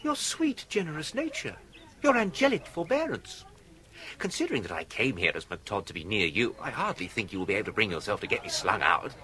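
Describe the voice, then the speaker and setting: An actor playing an urbane, unflappable young English gentleman in a radio comedy, speaking in a florid, mock-formal manner. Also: lowish voice, sing-song, a little fast. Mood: ardent, florid.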